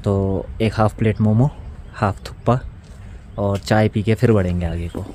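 A man's voice: on the low side, normal speed. A man in his thirties from India, casually narrating a motorcycle trip on mountain roads as a travel vlogger. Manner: relaxed running commentary while riding. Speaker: motovlogger. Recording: wind and engine noise outdoors. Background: road and vehicle noise.

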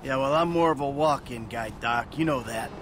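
A man's voice: mid-range voice, normal speed, noisy background, lightly acted. A man voicing a weary, sardonic crook. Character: cynical middle-aged male crook in therapy. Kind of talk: video game cutscene dialogue.

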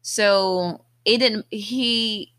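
A woman's voice: mid-range voice, drawn-out words, fairly bright tone, smooth, dry recording. A woman co-hosting a movie podcast, chatting casually and giving her opinions on a film. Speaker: podcast co-host.

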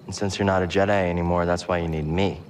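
An actor plays a wary young man in a video game adventure. His voice is low, and his delivery is earnest, plain and understated. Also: fairly even intonation, fast, slight background noise, natural voice. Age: young adult. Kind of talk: game cutscene acting.

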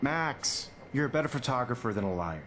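Lively actor as a charming photography teacher. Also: male voice, mid-range voice, a little fast, slight background noise, close to an everyday voice. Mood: encouraging lecture. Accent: American. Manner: smooth-talking, gently lecturing. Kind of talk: video game voice acting.

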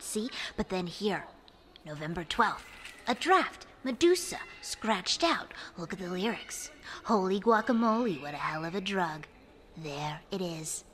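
A woman's voice: mid-range voice, fairly bright tone. A woman playing an eager, exacting museum director and scholar, speaking emphatically in an audio drama interview. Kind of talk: acted documentary interview. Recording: echoey hall with background noise.

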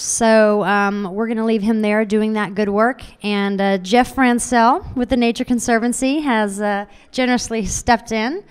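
A woman's voice: on the high side, smooth. A woman at a conference announcing a program change into a handheld mic, upbeat with many fillers. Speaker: conference host. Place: hotel conference room.

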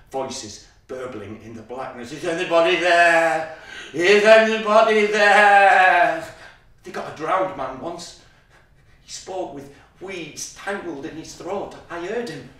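A man doing a scared, swearing eight-year-old boy. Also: sits high. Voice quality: sweary, blurting. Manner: hushed then shouting in panic. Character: frightened defiant foul-mouthed eight-year-old boy. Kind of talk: theatrical solo monologue. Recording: very echoey space, voice heard from far off.

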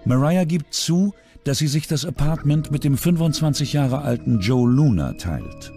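A man narrating a true-crime documentary in a measured, scripted way. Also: a little high, lively intonation. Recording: soft music underneath.

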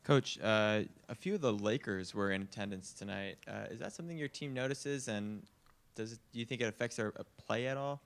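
Low creaky male reporter, off-mic. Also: a little fast. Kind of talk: asking press conference questions. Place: indoor press conference room, away from mic. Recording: quiet, off-mic from across room.

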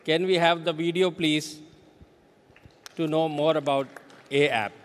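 A middle-aged man with an Indian accent giving a formal speech in halting, deliberate phrases. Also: fairly high pitch, fairly even intonation, a little hiss or hum. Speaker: company chairman. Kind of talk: formal thank-you speech. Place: large auditorium stage. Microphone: handheld microphone. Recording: large hall PA, long reverb.

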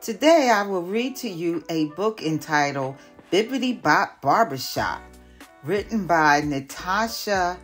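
An older woman, a retired teacher, reading a picture book aloud in careful, deliberate narration. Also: on the low side.

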